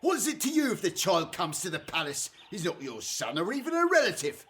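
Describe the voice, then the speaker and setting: A man's voice: high-pitched, huge pitch swings, a little fast, fairly bright tone, heavily acted. A man playing a harsh, imperious Egyptian royal official in a biblical radio drama. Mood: stern, threatening. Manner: imperious, threatening.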